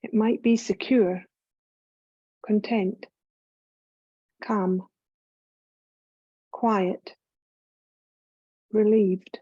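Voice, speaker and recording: A woman guiding a scripted meditation as a yoga nidra teacher, calm and soothing, unhurried, with long pauses between phrases. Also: mid-range voice, breathy edge, no room sound, highs cut off.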